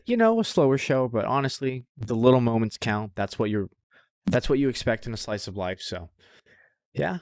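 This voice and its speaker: Guy doing a casual podcast, slangy and into it, average pitch, really dry sound. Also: a little mellow, no echo. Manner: enthusiastic, informal with slang and swearing. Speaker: podcast co-host. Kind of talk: casual podcast chat about anime.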